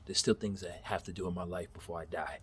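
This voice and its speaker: A man's voice: sits low, steady tone, quick pace, a little hiss or hum. A man in his thirties giving a candid, rambling motivational monologue in his backyard, laughing at himself and swearing casually. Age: 30s. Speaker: vlogger.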